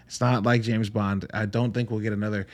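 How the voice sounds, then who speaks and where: A man's voice: lowish voice, flat delivery, a little fast. A man hosting a casual solo review podcast, talking informally and earnestly, often interrupting himself and rambling with emphasis. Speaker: podcast host reviewing films.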